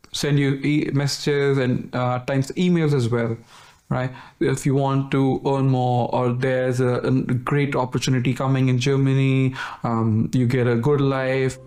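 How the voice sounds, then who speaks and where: A man's voice: medium pitch, little pitch movement, a little mellow, soft background music. Young Indian man speaking candidly. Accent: Indian English. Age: 20s. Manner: candid, halting. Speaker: interviewee, delivery rider. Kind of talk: interview testimony.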